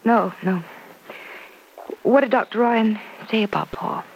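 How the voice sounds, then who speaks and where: An actress playing a caring, practical family friend, gentle and concerned, in an old-time radio soap opera. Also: female voice, a little high, measured pace, highs cut off. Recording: noisy vintage radio drama recording.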